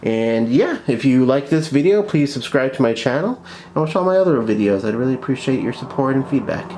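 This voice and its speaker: A man's voice: mid-range voice, lively intonation, a little fast, a little mellow, slight background noise. A man hosting a casual product review, speaking informally and with enthusiasm. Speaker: toy review host.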